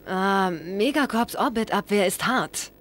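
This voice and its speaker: Tough, expressive game heroine. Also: female voice, mid-range voice, measured pace. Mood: dry, resolute. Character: tough, sardonic young female alien inventor. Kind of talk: animated game cutscene acting.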